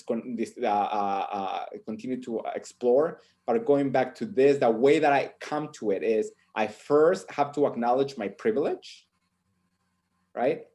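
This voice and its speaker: A man with a voice at an ordinary pitch, speaking as a panelist on a video call. He talks in a reflective way and often tags his points with "right?". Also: warm tone. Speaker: professor on a panel.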